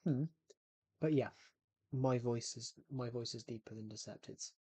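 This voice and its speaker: A man rambles through a relaxed game livestream at an ordinary mid pitch, circling back over the same phrases. His voice sits close and dry on a streaming mic. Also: a little slow, breathy edge, dry recording. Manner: rambling, repeats and restarts phrases. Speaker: gaming streamer. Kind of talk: casual livestream chat while playing.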